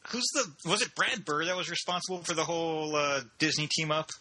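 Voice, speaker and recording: A man's voice: on the high side, a little fast, pushed and bright, rough recording. A man chatting casually with enthusiasm and strong opinions. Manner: enthusiastic, opinionated, says "dude". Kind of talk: casual chat.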